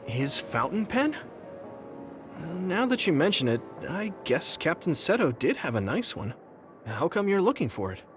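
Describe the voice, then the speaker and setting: An earnest, animated young soldier, higher-pitched. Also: male voice, moderate pace, soft background music. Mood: curious, hesitant. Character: earnest, friendly young male soldier, easily flustered. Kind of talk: English anime game voice acting.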